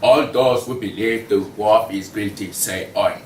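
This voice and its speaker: Man playing a low-voiced pig foreman. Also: fairly even intonation, unhurried, natural voice. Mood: businesslike. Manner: orderly, presiding. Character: businesslike pig jury foreman running the vote. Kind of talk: student table read of a comic play. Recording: echoey room, distant mic, faint noise.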